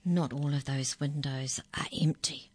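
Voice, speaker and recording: A woman's voice: low-pitched, steady tone, unhurried, a little mellow. Wicked little brother figure, whispered, storybook style, woman reading. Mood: sly. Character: teasing older brother, sly and whispery.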